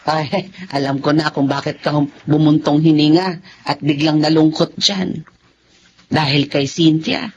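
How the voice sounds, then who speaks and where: An actress playing a caring, scolding middle-aged older sister in a Filipino radio drama, expressive and fussing. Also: female voice, deep voice, a little slow, highs cut off, a little hiss or hum. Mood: teasing, concerned.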